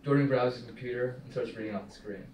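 A man's voice: mid-range voice, quick pace, a little mellow. A young man reading stage directions as scripted narration at a film table read. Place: small meeting room, indoors. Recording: roomy, distant mic, faint noise.

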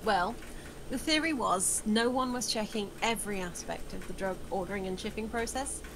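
A woman's voice: medium pitch, normal speed, lightly acted. Candid female witness read by a woman, noisy line. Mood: measured. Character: candid woman witness calmly explaining a criminal scheme. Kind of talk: table read of a film script.

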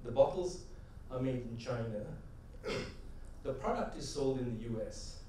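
A middle-aged man tells stories from a conference stage, asking the crowd rhetorical questions as he goes. His voice is mellow and dark, and it carries through a very echoey hall. He sounds a little distant from the mic, with faint noise underneath. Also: mid-range voice, a little slow. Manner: animated storytelling, gestures, rhetorical questions. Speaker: conference speaker. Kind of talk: stage keynote talk with anecdotes. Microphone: headset mic.

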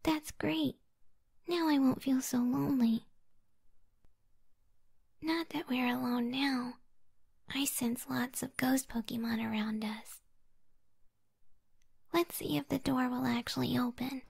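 Creepy-sweet ghost-obsessed young woman voiced by an actress for ASMR roleplay: high, husky whisper, slow and coaxing. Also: steady tone, heavily acted. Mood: softly excited, eerie. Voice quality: breathy, raspy whisper.

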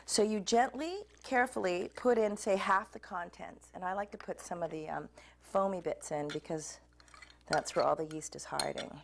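A woman with a fairly low voice talks relaxed and chatty while she cooks, explaining each step. She speaks to the camera in a kitchen studio. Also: normal speed, gravelly. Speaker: cooking show host. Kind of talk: demonstrating a recipe to camera. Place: home kitchen set, indoors.

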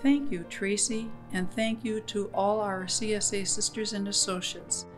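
A woman's voice: mid-range voice, steady tone, measured pace. An American woman delivering a scripted closing address. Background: guitar music.

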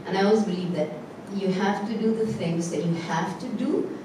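A woman's voice: medium pitch, brisk. A woman actor speaking on a post-show panel, giving earnest, frank advice. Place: theatre stage. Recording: echoey room, distant mic, noisy.

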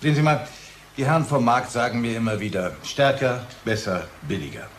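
A man acts a self-important pharmaceutical boss in a radio play. He is impatient and market-minded, with a mid-pitched male voice over noticeable room ambience. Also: lively intonation, a little fast, a little hiss or hum, natural voice. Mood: pushy. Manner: pompous, impatient, interrupting. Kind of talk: German radio drama acting. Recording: clear background room ambience.

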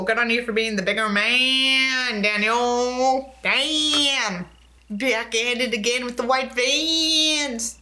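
High-pitched, animated, sarcastic young woman. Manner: sarcastic, sweary; theatrical, acts out dialogue in raised tones. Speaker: book reviewer and host. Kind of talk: reading a novel aloud with mocking commentary. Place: indoors in front of a tinsel backdrop.

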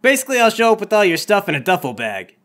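A rich, laid-back young dude acted out by a man in a comedy tabletop game. He sounds bright and fairly high for a man, with breezy, slangy generosity. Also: wildly swooping pitch, quick pace, heavily acted. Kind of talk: improvised comedic roleplay.

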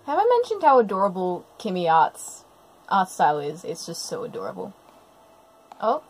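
A woman's voice: medium pitch, huge pitch swings, normal speed, a little mellow, a little hiss or hum, poor audio. A woman giving casual live reaction commentary on a cartoon, gushing and sentimental, with frequent small exclamations. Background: music from the cartoon being watched.

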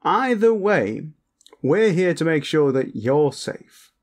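A man's voice: on the high side, big pitch swings. A man voicing a lecherous, flamboyant vampire protector in a comedic audio roleplay, smug, pompous and suggestive. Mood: flirtatious, reassuring. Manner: theatrical, drawn-out, suggestive, pompous. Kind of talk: comedic character roleplay monologue, talking to the listener.

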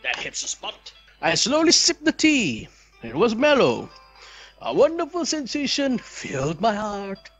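A young man reads visual novel narration aloud as a let's player, deliberate and storybook-like, with casual asides. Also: sits high, huge pitch swings, a bit bright, soft background music.

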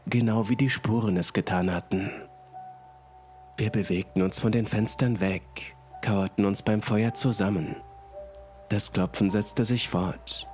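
A man with a fairly low voice narrates a horror story. His delivery is deliberate and ominous, set over music and the sound of rain. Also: darkish tone. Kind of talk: scripted first-person horror narration.

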